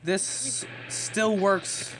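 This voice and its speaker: Man, higher-pitched voice, casual vlogger, unscripted, rambling, matter-of-fact show-and-tell. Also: very slow, a bit bright, a little hiss or hum, rough recording. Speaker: vlogger showing an antique radio.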